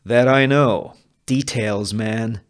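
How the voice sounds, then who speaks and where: A man playing a sadistic, commanding Wild West sheriff who presides as judge in a deliberate way. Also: fairly low pitch. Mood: stern. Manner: deliberate, domineering.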